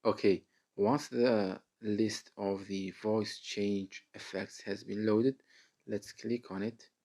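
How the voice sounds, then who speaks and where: A man with a low voice and a heavy, creaky fry hosts a how-to tutorial. He walks through each step in a deliberate, halting manner, addressing the viewer directly. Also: fairly even intonation, mellow. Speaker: tutorial host. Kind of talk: step-by-step screen tutorial narration.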